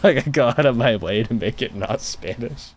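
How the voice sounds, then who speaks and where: A man's voice: medium pitch, expressive, normal speed, slight background noise. A man hosting a podcast in casual chat, joking and laughing often. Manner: jokey, laughs often, mock-pronounces foreign phrases. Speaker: podcast host.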